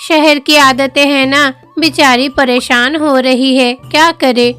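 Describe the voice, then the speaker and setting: A woman voicing a kind, patient village wife who speaks softly and soothingly, for a cartoon story. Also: high voice, fairly even intonation, slow pace, soft background music, exaggerated voice. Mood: gentle.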